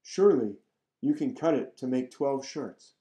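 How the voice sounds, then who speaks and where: A man's voice: mid-range voice, expressive, measured pace, dark tone, echoey room, close to an everyday voice. A man voicing a regal young tsar in a folk tale he reads aloud.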